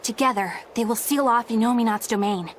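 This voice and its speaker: Woman as an earnest, fairly high-voiced young heroine. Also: brisk, a little hiss or hum, close to an everyday voice. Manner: sincere, proper. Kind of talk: fantasy game acting.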